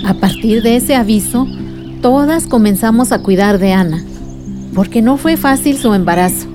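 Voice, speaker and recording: An actress playing a caring aunt in a radio drama, patiently telling family history in a reassuring way. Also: female voice, fairly high pitch, moderate pace, over music, natural voice.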